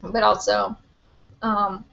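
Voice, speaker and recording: A young woman hosting a live book Q&A from her webcam, chatty and rambling with lots of "like" and "um", her voice a little hoarse. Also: medium pitch, little pitch movement, slow, fairly bright tone, muffled recording. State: slightly hoarse, losing her voice. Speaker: book vlogger. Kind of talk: live-stream Q&A.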